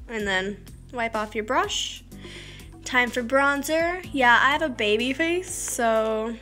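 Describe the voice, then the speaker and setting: A woman's voice: on the high side, pushed and bright. A teenage girl with a slightly scratchy voice, chatting casually and rambling through a makeup tutorial in her bedroom, often trailing off into mumbles and self-mocking jokes. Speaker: beauty vlogger. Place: small bedroom by a curtained window.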